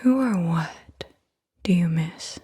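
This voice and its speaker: A woman speaking in a soft, breathy whisper, gently reading out questions in an ASMR style. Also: lowish voice, lively intonation, slow. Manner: hushed, gentle delivery. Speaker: ASMR host. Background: computer keyboard typing.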